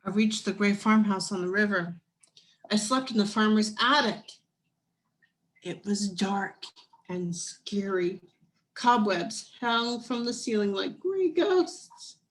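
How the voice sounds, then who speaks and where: A woman voicing a brave but frightened young girl in a children's storybook, earnest, hushed and deliberate. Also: medium pitch, animated, poor audio. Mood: uneasy. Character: Cassie, brave frightened young girl telling her escape.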